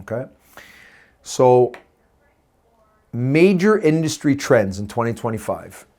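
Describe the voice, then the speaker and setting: A man co-hosts a relaxed business podcast. He teases his partner as he steers the talk from one segment to the next. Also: mid-range voice, slow. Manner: playful, teasing, leads the segments. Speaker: podcast co-host. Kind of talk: casual podcast chat.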